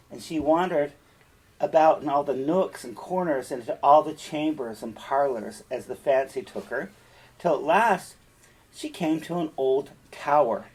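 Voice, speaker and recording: A man speaks slowly in a husky, mid-pitched voice. He has the manner of a teacher sharing a story, deliberate and emphatic, addressing his listeners directly as he reads and explains. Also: darkish tone, poor audio. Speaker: teacher reading and explaining a fairytale. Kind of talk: storytelling read-aloud with explanatory asides.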